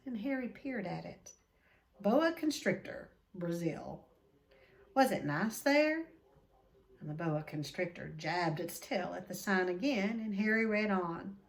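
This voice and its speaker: A woman reading a children's book aloud expressively, with deliberate dramatic emphasis. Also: mid-range voice, expressive. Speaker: read-aloud storyteller.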